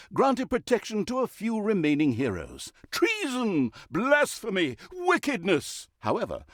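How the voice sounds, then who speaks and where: A man's voice: on the high side, no room sound, studio quality. A man playing a pompous, dryly mocking storyteller who narrates an evil overlord's deeds in a video game, with theatrical emphasis. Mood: storytelling, wry, then exasperated.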